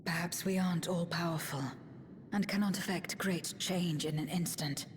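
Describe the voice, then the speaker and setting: A woman's voice: lowish voice, fairly even intonation, normal speed, slight background noise, close to an everyday voice. A woman playing an earnest female adventurer in a video game, speaking formally and persuasively. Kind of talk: dramatic video game acting.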